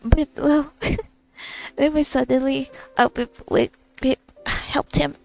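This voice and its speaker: A woman gamer giving a casual, halting, rambling recap over her gameplay. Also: high voice, fairly even intonation, gravelly, a little mellow. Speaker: gamer recording her playthrough.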